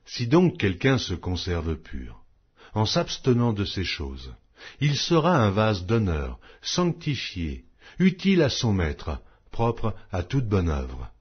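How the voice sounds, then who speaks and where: A man reads scripture solemnly in a fairly low, husky voice. His phrasing is measured and declamatory, rising and falling with very lively intonation. The recording is slightly dull and muffled. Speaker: Bible audiobook narrator.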